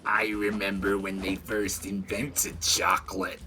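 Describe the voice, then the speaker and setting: A man putting on the voice of a cranky old grandma from a cartoon, slow and croaky. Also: sits low, little pitch movement. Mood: grumbling. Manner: slow, croaky elderly impression. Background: game music.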